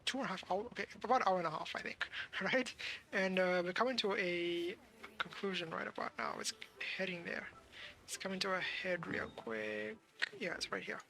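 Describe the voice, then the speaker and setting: A game streamer giving casual live commentary, thinking aloud in halting fragments over game music. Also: medium pitch, vocal fry, pushed and bright.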